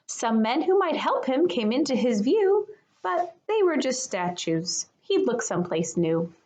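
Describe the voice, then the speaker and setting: A woman reads a picture book aloud for young children. Her voice sits fairly high and swings widely in pitch, with an unhurried, expressive teacherly delivery. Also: lo-fi sound. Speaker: kindergarten teacher reading aloud.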